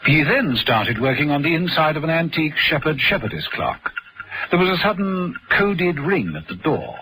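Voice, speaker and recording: A man's voice: a little high, big pitch swings, moderate pace, background noise. A man reading scripted radio drama narration in a dramatic storytelling manner.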